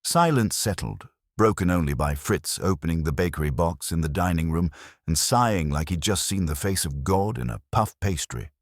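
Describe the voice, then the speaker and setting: A man's voice: sits low, expressive, normal speed, no echo, clean studio sound. A man narrating a scripted first-person detective story as host.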